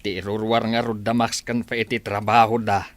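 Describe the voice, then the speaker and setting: A man's voice: fairly low pitch, little pitch movement, a little fast, dry recording, close to an everyday voice. A man playing an exasperated old village father in an Ilocano radio comedy drama, grumbling and exclaiming with a Filipino accent. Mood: grumbling, impatient. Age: older. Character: exasperated old village father fretting over his mortgaged farm.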